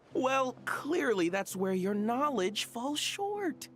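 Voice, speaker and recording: A woman's voice: fairly high pitch, sing-song, very slow, slight background noise, exaggerated voice. A woman voicing a smug, playful know-it-all in a video game, boastful and theatrical. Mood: smug, gleeful.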